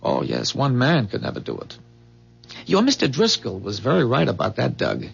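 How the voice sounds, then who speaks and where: An actor playing a calm, earnest man from a dying future, explaining gravely in measured 1970s radio drama style. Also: medium pitch, soft background music, full character voice. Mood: solemn, earnest. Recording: dull, muffled 1970s radio drama recording.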